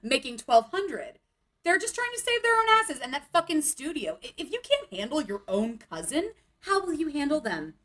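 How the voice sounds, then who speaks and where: A woman's voice: high-pitched, very animated, normal speed, fairly bright tone. A woman playing a dramatic, wounded young bohemian punk singer in a motion comic. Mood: hurt, indignant.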